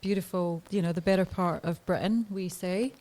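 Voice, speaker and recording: A woman's voice: fairly low pitch, normal speed, noisy background. A woman in her thirties, a podcast guest talking candidly and hesitantly, with frequent ums and laughs. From Scotland. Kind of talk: podcast interview.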